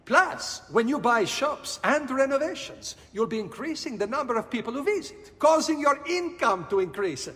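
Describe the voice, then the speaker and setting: Animated, high-voiced Italian architect. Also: male voice, moderate pace, hardly any pauses, full character voice. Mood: eager, businesslike. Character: brisk, business-minded male Italian town architect. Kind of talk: video game cutscene acting. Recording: long reverberant hall.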